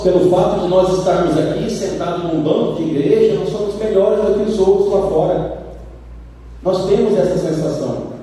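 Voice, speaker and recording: A man with a Brazilian accent preaching a sermon, telling stories with lively acted-out quotes. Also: a little high, recorded from a distance. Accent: Brazilian Portuguese. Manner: animated storytelling, acts out quoted voices. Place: church sanctuary. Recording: roomy church hall from afar.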